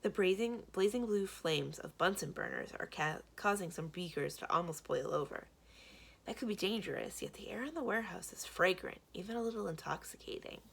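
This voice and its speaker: A woman reading a children's book aloud as expressive scripted narration. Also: on the low side, fairly quick, vocal fry, husky. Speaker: library read-aloud reader.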